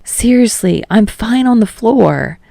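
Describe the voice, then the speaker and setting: Friendly teen boy, acted by a woman, raised pitch, earnest, casual, eager, slightly sheepish, audiobook character, dry close sound. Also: lively intonation, slow pace, gravelly, warm tone, dry recording, heavily acted. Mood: flustered, insistent.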